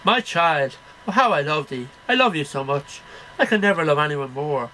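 Man, animated sing-song poetry recital, forceful bright tone, home webcam sound. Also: on the high side, normal speed, a little hiss or hum, low-quality recording. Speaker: amateur poetry reader. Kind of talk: reading poems aloud to camera. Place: small cluttered bedroom. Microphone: webcam at about arm's length.

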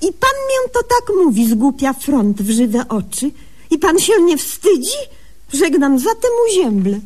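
A brisk, self-important young female doctor in a comic radio sketch. Her high voice swings widely as she recounts her diary in mangled, mock-literary phrases. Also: sing-song, dark tone. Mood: indignant. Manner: mock-literary, deliberately garbled diction. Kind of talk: humorous radio sketch acting.

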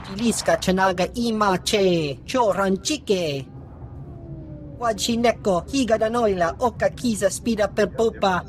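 A woman's voice: mid-range voice, unhurried, close to an everyday voice. A woman voicing an alien gangster in a video game, speaking choppy phrases of an invented alien tongue. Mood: guarded. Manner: nonsense syllables in choppy phrases.

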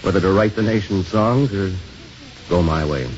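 A man playing an easygoing, low-voiced kindly priest. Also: normal speed, lightly acted. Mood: warm, reflective. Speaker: actor in a radio drama. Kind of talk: 1950s radio acting. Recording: muffled, lightly noisy 1950s radio broadcast.

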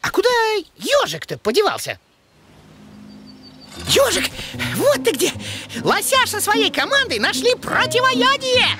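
A woman voicing an excitable, impatient young male rabbit in a children's cartoon, exclaiming in a brash, colloquial way. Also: extremely high pitch, a little slow, long pauses, exaggerated voice. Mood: excited. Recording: music behind the voice.

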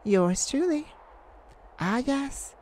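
A woman's voice: high-pitched, lively intonation, extremely slow, a little mellow, a little hiss or hum, exaggerated voice. A woman voicing a courteous, easily flustered, ageless celestial afterlife caseworker in an ASMR roleplay, hesitant and apologetic. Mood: pleased. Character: polite, flustered, thousands-year-old celestial afterlife caseworker.

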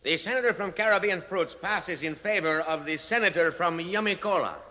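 A high, forceful senator in fifties radio drama, echoing long in a big hall. He sounds formal and deferential. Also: fairly quick. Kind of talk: 1950s radio drama acting. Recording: long echo of a large hall.

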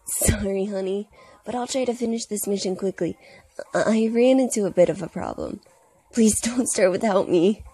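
Woman as a breathy, blunt young male hero. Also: mid-range voice, lively intonation, moderate pace, warm tone. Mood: earnest, apologetic. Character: gruff young male hero, devoted husband, hot-tempered. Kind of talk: comic dub.

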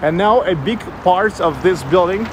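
High-voiced Russian man on a loud street. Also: expressive, moderate pace. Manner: loose, searching for English words, corrects himself. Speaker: walking tour guide. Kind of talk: live-streamed walking tour commentary. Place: busy city street outdoors. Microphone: handheld selfie camera mic. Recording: outdoor street with loud traffic noise.

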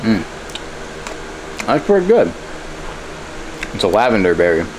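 A man's voice: on the low side, sing-song, unhurried. A man in his twenties chatting casually to a camera in a laid-back, colloquial way. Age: 20s. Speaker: vlogger. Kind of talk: casual vlog chat. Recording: noisy handheld camera audio with ambient hum.

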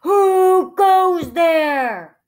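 Woman putting on a slow, booming, mean bridge troll. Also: lively intonation, a little mellow, exaggerated voice. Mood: demanding. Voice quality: booming, growling. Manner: slow, drawn-out, demanding. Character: big, hairy, mean, hungry male bridge troll. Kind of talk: children's storybook character voice.

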